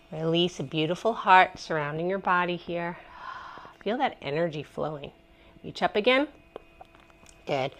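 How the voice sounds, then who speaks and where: A woman with a cold and a stuffy, congested voice, leading a gentle home yoga class with soft, encouraging cues and contented sighs. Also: medium pitch. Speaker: yoga instructor. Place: messy living room at home.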